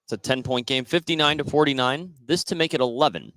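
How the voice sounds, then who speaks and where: A man's voice: mid-range voice, fast, a bit bright, dry recording. An American man doing live radio play-by-play commentary of a basketball game as it unfolds. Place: indoor basketball gym during a game.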